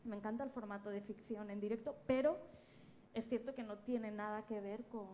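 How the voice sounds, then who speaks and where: A woman's voice: medium pitch, steady tone, normal speed, mellow. A woman with a Spanish accent from Spain, speaking enthusiastically and conversationally as a panelist at a live round-table event. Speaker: panelist, podcast producer.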